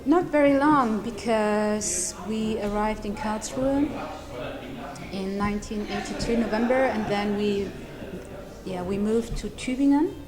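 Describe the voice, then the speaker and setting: A woman's voice: medium pitch, measured pace. Eritrean-born woman, crowd noise behind. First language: Tigrinya. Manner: hesitant, with many ums and restarts, laughing lightly. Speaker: lawyer and human rights advocate. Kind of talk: casual interview answers.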